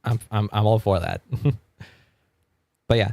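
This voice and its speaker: Low-voiced man rambling on stream. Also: steady tone, measured pace, vocal fry, dark tone, dry recording, studio quality. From Argentina. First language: Spanish. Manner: rambling, stammers on repeated words, laughs often. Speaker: music streamer. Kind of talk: casual live-stream chat.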